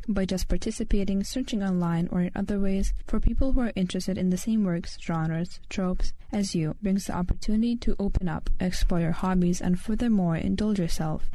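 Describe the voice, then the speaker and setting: A woman's voice: fairly low pitch, dark tone. Teenage girl with a Lithuanian accent. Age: teens. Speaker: podcast host. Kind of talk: scripted solo podcast monologue.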